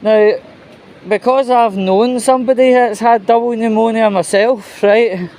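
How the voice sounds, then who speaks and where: Slow, high-voiced man ranting casually. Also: fairly even intonation, a little mellow. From United Kingdom. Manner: rambling, halting, sweary. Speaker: host of his own commentary vlog. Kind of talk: casual opinionated news commentary.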